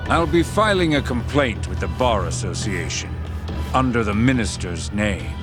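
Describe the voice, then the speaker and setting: A man playing a smooth, coolly threatening government official in a video game, formal and measured. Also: on the low side, sing-song, music in the background. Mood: coolly polite. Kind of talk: video game drama acting.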